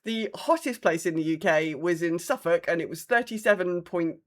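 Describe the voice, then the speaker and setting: A woman's voice: lowish voice, expressive, moderate pace. A British woman co-hosting a podcast with casual, wry, rambling chat. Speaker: podcast co-host.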